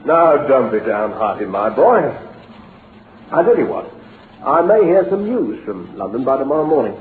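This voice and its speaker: A man's voice: mid-range voice, very animated, normal speed, natural voice. An actor playing a glib, patronising businessman with a falsely encouraging, jovial manner in an old-time radio drama. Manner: hearty, patronising, chuckling. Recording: lo-fi narrow-band radio recording, faint noise, roomy.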